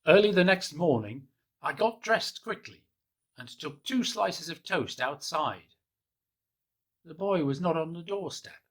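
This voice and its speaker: Soft-spoken man reading a children's story. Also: on the high side, lively intonation, a little slow. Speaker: storybook reader. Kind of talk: gentle first-person children's story reading.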